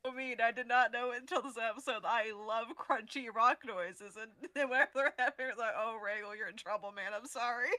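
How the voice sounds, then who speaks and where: A woman's voice: on the high side, normal speed, bright, forceful tone. A woman who works as a sound designer chatting casually in a lighthearted, self-deprecating way. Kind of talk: casual chat.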